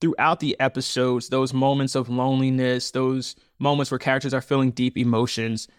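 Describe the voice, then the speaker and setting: A man in his thirties, a podcast guest talking about his audio fiction, animated and emphatic, often laughing and putting on quoted voices. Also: mid-range voice, moderate pace, clean tone, no echo, clean studio sound. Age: 30s. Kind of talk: conversational interview.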